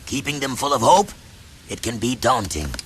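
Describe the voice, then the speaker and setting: A man's voice: mid-range voice, very animated, moderate pace, a bit bright, a little hiss or hum. A man playing a stern, noble older warrior king in a video game, solemn and commanding. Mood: solemn, resolute. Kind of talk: dramatic video game acting. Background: music.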